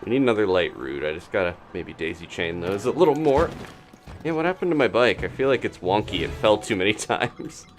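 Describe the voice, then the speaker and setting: A man's voice: lowish voice, animated, brisk. A man doing casual live commentary as he plays a video game, thinking aloud with playful reactions. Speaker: gaming live streamer.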